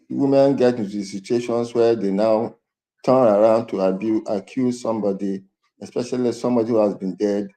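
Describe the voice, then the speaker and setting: A man giving his opinion as a news panellist, halting, with stammered restarts and repeated words. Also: fairly low pitch, warm tone, low-quality recording.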